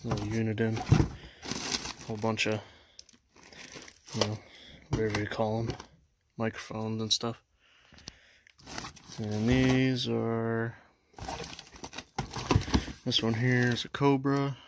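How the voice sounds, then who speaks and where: Halting low-voiced man vlogging. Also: flat delivery, heavy background noise. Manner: halting, many fillers, unsure. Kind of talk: casual show-and-tell vlog. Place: indoors at a house.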